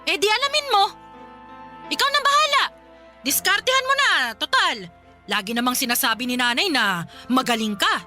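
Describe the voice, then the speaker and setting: A bitter, exhausted daughter in a radio drama. Her voice is high and bright, pleading and defiant with emphatic repeats. Soft music sits underneath. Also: lively intonation, normal speed, pauses often, heavily acted. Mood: bitter, challenging. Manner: emotional, pleading, emphatic repetition. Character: aggrieved, worn-out adult daughter, bitter and defiant. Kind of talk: Filipino radio drama acting.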